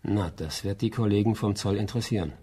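Laconic coastal watchman, husky and mellow. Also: lowish voice, fast talker. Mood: knowing. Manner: laconic, colloquial. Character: dry, plain-spoken coastal watch man. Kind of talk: German crime radio play.

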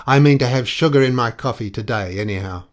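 A man voicing a furious, insolent colonial soldier in a storybook, snarling and mocking in shouted outbursts. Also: fairly low pitch, expressive, normal speed. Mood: angry, accusing. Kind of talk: dramatic storybook character reading.

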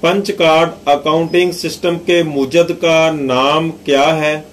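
Slow-paced man reading quiz narration. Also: a little high, fairly even intonation, slight background noise. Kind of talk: scripted quiz narration, questions and answers.

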